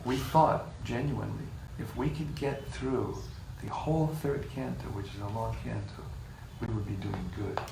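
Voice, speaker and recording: A man leading a scripture class, reading passages in a measured way and joking with the group between them. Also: mid-range voice, gravelly. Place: room with a live group. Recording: echoey room, distant mic, faint hiss.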